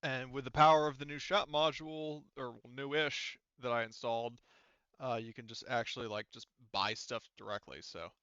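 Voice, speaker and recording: A man's voice: medium pitch, fairly even intonation, normal speed, bright, forceful tone, smooth, dry recording, dull audio. A man casually running a tabletop game as game master, explaining things in a rambling way.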